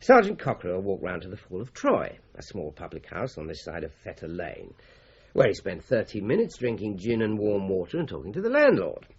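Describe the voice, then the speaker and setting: A man playing a shrewd, methodical British police inspector in a radio drama, brisk and authoritative. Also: low voice, huge pitch swings, a little mellow, husky, exaggerated voice. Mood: thoughtful, dogged. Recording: slightly dull radio drama recording.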